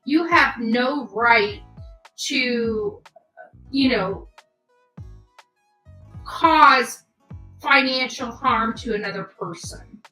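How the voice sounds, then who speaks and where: Bright, high-voiced woman, halting delivery. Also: faint music behind, low-quality recording. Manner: hesitant, halting phrasing with many filled pauses. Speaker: livestream host. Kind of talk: unscripted livestream commentary.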